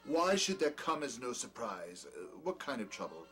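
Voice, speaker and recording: A haughty, smooth-talking doctor played by a male actor in an audio drama. His formal, wordy speech swings animatedly in pitch, courteous on top and scornful underneath. Music plays behind him. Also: big pitch swings, normal speed, fairly bright tone. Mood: condescending, probing. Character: peculiar, condescending male doctor, smoothly charming yet scornful. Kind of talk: dramatic dialogue in an audio play or table reading.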